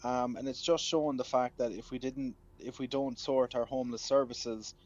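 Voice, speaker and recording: A man's voice: mid-range voice, fairly even intonation, moderate pace, a little mellow. A man with an Irish accent chatting casually as a musician guest interviewed over the telephone. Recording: dull lo-fi phone line.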